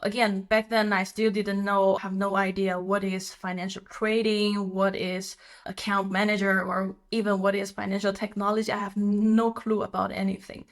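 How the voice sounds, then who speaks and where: A woman's voice: mid-range voice, flat delivery, moderate pace, hardly any pauses. Chatty woman guest. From China. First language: Chinese. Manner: chatty, laughing, with frequent fillers. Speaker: guest, company co-founder. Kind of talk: casual interview.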